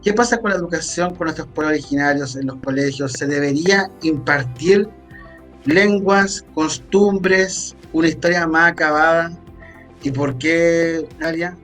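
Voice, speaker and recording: A man's voice: fairly high pitch, measured pace, a bit bright, soft background music. A man moderating a debate, putting questions conversationally.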